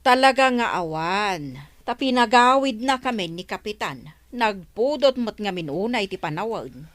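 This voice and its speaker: A woman's voice: mid-range voice, animated, normal speed, fairly bright tone, no room sound, lightly acted. A woman acting in an Ilocano radio drama, playing a nagging, exasperated village wife. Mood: complaining.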